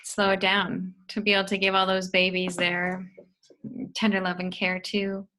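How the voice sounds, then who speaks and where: Woman facilitator, deliberate gentle pacing, guided questioning. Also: mid-range voice, monotone, poor audio. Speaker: facilitator guiding the inquiry.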